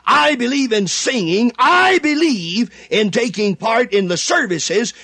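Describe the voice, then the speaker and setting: Fiery male radio preacher, high-pitched and animated, hammering phrases with repetition. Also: dry recording, clean studio sound. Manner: impassioned, rising to shouts then dropping low; drawn-out emphatic repetition. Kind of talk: fervent radio sermon.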